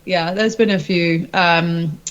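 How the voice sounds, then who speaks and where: A woman's voice: on the low side, steady tone, slow pace, lo-fi sound. A woman speaking as a singer being interviewed, informal and reflective, feeling her way through candid answers. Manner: informal, hesitant, self-reflective.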